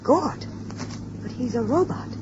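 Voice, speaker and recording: A woman's voice: a little high, animated, measured pace, noisy background. An actress playing an anxious, earnest woman with an urgent, imploring manner in a 1950s radio drama. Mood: worried. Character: anxious, earnest woman pleading to protect a stranger. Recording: dull old radio recording with music.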